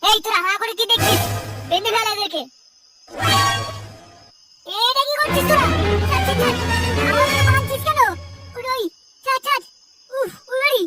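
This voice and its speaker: A rowdy young cartoon troublemaker with an extremely high, childlike pitch. The delivery is brash, crude and bossy. Prominent music runs under the voice. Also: pushed and bright, heavily acted. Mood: agitated. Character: rowdy foul-mouthed young cartoon prankster gang. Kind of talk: Bengali cartoon comedy dubbing.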